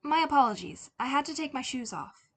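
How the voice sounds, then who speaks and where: A woman voicing a polite young boy in a story, careful and clear, eager to please his parents. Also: high-pitched, animated, fairly quick, fairly bright tone, pitched above the performer's own voice.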